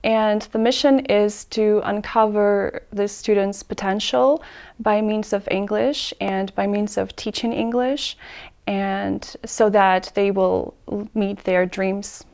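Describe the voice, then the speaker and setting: A woman, an English tutor answering interview questions in a measured way that lays out her points in order. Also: mid-range voice, little pitch movement, vocal fry, darkish tone. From Ukraine.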